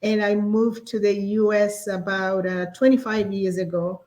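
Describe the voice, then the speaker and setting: A woman in her forties speaks with a Kenyan accent at a medium pitch. She is an interview guest telling a personal story, polite and measured, pausing as she goes. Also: flat delivery, darkish tone. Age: 40s. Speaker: interview guest, real estate agent.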